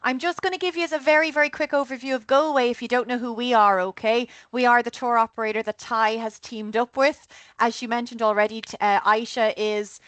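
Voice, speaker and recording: A woman's voice: fairly high pitch, steady tone, a little fast, a bit bright, dry recording. Chatty Irish woman with a dry, close sound. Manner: chatty, keeps adding "okay?". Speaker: tour operator director of sales. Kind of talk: online group presentation.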